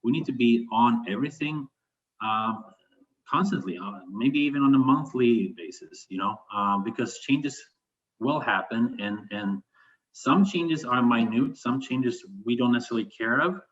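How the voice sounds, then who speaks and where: A man's voice: medium pitch, moderate pace. A man from Sweden answering interview questions conversationally, thinking aloud with some hesitation. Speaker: interview guest, business continuity manager. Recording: dull, lo-fi sound.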